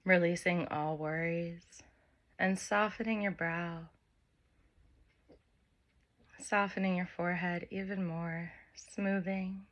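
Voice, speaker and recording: Slow, even-toned woman guiding meditation. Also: fairly low pitch. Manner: unhurried, gentle phrasing with long pauses. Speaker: meditation guide. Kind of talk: guided meditation, soft spoken instruction. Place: by the open air with wind and birds.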